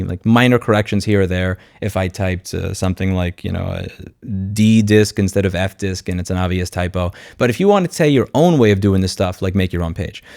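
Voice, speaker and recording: Low-voiced, blunt man vlogging. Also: a little mellow, no room sound, studio quality. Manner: blunt, sardonic, informal with swearing. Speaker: YouTube host and consumer rights advocate. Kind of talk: unscripted vlog monologue, screen walkthrough.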